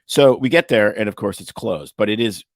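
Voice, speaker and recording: A man's voice: lowish voice, animated, a little fast, no echo, clean studio sound. A man from Canada co-hosting a podcast, telling a story in casual, comedic chat and slipping into mock ad-announcer bits. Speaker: podcast co-host.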